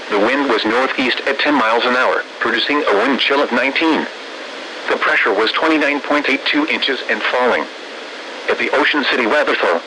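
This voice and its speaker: A synthesized male voice reading scripted weather bulletins in a measured, impersonal, formulaic way over weather radio. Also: medium pitch, sharp tone. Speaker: automated weather radio announcer. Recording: noisy weather radio broadcast.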